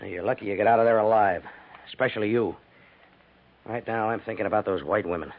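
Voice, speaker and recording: Brisk, authoritative American army major. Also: male voice, lowish voice. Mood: firm. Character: firm, level-headed senior army major. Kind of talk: 1950s radio drama acting. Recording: tinny, narrow, noisy 1950s radio recording.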